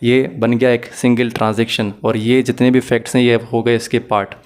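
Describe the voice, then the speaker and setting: A man's voice: mid-range voice, flat delivery, fairly quick, a little mellow, clear, resonant tone. A man explaining material as a law teacher in an online lecture, methodically repeating and rephrasing points and mixing in English phrases.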